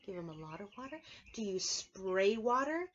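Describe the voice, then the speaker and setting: A woman preschool teacher reading aloud and explaining things to young children, clearly emphasizing key words in an instructive way. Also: medium pitch, very animated, moderate pace, dull audio, slight background noise. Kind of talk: children's read-aloud lesson with explanations and questions.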